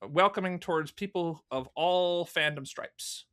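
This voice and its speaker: Man, slightly high voice, bright forceful tone, animated storyteller, casual podcast interview guest. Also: a little slow. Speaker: interview guest, audio drama producer.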